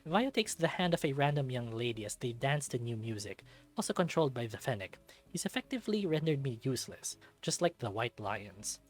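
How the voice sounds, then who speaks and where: A medium-pitched voice reads a visual novel aloud as a dramatic narrator. Music plays behind the reading. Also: sing-song, fairly quick. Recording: background music under the voice. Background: game music.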